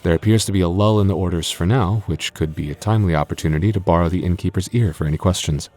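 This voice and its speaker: Male actor as young game master, deep voice, exasperated tsundere friend, hesitant delivery, intimate audio roleplay. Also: quick pace, a little mellow. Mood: measured narration. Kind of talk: ASMR audio roleplay acting.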